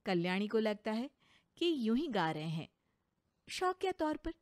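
A woman's voice: a little high, big pitch swings, a little slow. A woman narrator telling a scripted cartoon story.

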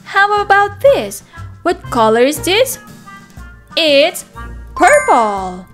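A woman teacher reading a picture book aloud to toddlers in an animated, sing-song manner, with simple repeated words and questions. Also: extremely high pitch, takes it slowly. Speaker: children's teacher and read-aloud host. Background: background music.

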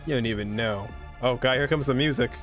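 A man's voice: medium pitch, a little fast, fairly bright tone. A young man casually reacting live to game cutscenes, slangy and full of awed exclamations, with game music behind him. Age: young adult.

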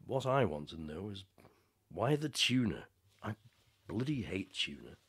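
A man acting in a radio play as a gruff, sullen, combative working-class geologist trapped underground, surly and defensive. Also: deep voice, very animated, unhurried, a bit bright, full character voice. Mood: sullen, uneasy.

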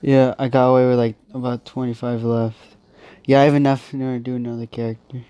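A man's voice: medium pitch, little pitch movement, fast, warm tone, clear, resonant tone, no echo, dull audio. A young man with a stuffy nose giving rambling, casual commentary while playing a mobile game. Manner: rambling, thinking aloud with many restarts. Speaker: gaming channel host.

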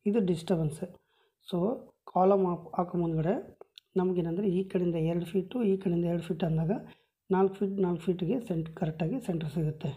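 Dark-voiced woman explaining plans. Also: fairly low pitch, fairly even intonation, a little fast. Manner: plain step-by-step explanation, many measurements. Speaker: home plan explainer. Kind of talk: explaining a floor plan with a pen. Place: at a table over paper plans.

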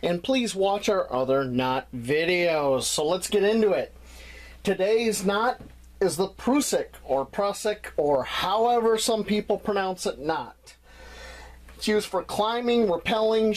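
A man teaching bushcraft knots, talking through each step while his hands tie the cord. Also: high voice. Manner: plain step-by-step explaining while tying. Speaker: bushcraft instructor. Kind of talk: hands-on knot tutorial.

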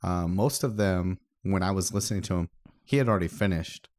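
Low-voiced male podcast co-host. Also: fairly quick, a little mellow, no echo. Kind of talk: casual podcast chat.

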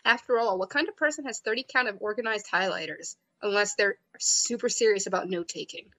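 A woman's voice: mid-range voice, brisk, a bit bright, low-quality recording. A woman reading a visual novel's inner monologue aloud in a wry, chatty way. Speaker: game narrator and player.

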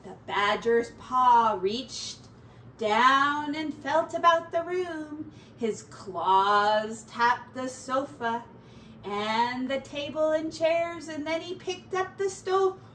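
Slow, animated woman reading to children. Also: high voice. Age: middle-aged. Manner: animated, deliberate. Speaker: teacher reading aloud. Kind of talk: expressive children's storybook narration. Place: small room at home, indoors. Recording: roomy, distant mic, faint noise.

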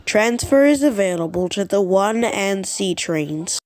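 Medium-pitched female transit announcer. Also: lively intonation, unhurried, darkish tone. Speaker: train announcer.

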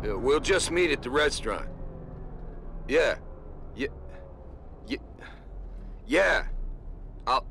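An actor playing a weary criminal in a video game, placating someone in a halting way as if on a phone call. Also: male voice, medium pitch. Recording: clear car noise behind.

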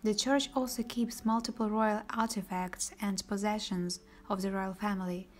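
A woman giving scripted documentary narration in an even, measured reading voice, recorded as a studio voice-over. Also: mid-range voice.

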